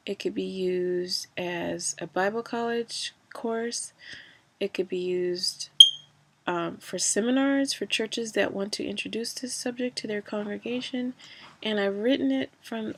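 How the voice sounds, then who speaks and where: Woman, casual vlogger, mid pitch, fry in the voice, congested from a cold, loose rambling delivery. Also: measured pace. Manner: rambling, many fillers and restarts. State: stuffy from a cold. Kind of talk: casual personal vlog.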